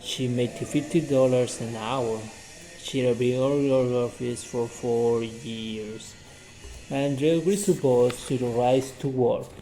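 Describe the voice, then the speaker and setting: A man's voice: mid-range voice, fairly even intonation, takes it slowly, dark tone, faint music behind, low-quality recording. A man teaching English by reading a short story and drilling it with questions he asks and answers himself, repeating each sentence.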